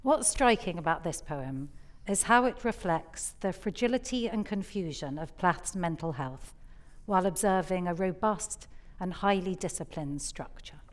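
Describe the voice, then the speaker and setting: A woman hosts a poetry evening from a theatre stage. Her voice sits slightly low, and she explains each piece articulately in prepared introductions. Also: lively intonation, normal speed. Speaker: host introducing poems.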